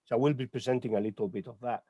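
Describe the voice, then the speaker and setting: A man's voice: medium pitch, racing, dark tone, slightly rough, dry recording, highs cut off. Hesitant Spanish-accented man, dry sound. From Lorca, Murcia, Spain. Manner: hesitant, many filler sounds. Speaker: poet, translator and educator on a panel. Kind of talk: online panel talk.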